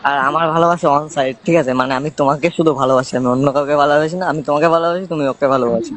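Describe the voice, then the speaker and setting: A man's voice: on the high side, very fast, a crowd in the background. A man chatting informally on a phone call, often checking he is understood. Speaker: phone caller. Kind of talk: casual phone conversation. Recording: faintly noisy phone call recording.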